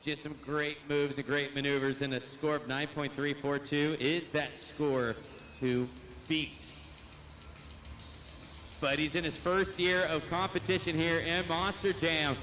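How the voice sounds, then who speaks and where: A man's voice: on the high side, flat delivery, a little slow, sharp tone, a little hiss or hum, poor audio. Hyped man calling monster trucks. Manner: hyped crowd-pumping. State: losing his voice. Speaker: arena announcer. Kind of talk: live play-by-play commentary. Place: indoor arena.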